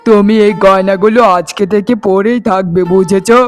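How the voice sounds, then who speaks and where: A man voicing a village gentleman in an animated moral tale. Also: sits high, normal speed, soft background music, lightly acted. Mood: affectionate. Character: men of a landlord tale: messenger and proud sons.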